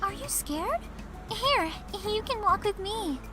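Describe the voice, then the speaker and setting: Tiny sweet anime girl, super high, slow n gentle, game music loud behind. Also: animated, exaggerated voice. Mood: gentle coaxing.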